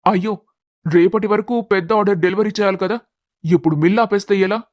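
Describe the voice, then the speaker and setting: Guy playing a mild middle-aged dad in a family story, earnest, high for a man, dry sound. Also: steady tone, brisk, no room sound, close to an everyday voice. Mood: worried.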